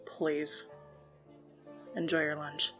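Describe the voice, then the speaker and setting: Casting: female actor as deadpan young occult cat woman, low and slow, terse, secretly caring, faint music behind. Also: full character voice. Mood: dry, reserved. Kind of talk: audio roleplay acting.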